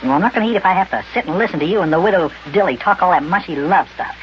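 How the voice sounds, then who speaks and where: A man's voice: sits high, expressive, rapid-fire. An indignant little elf boy in an old children's radio serial. His voice swings widely as he grumbles and exclaims in folksy style. The recording is dull and noisy. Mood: sulky.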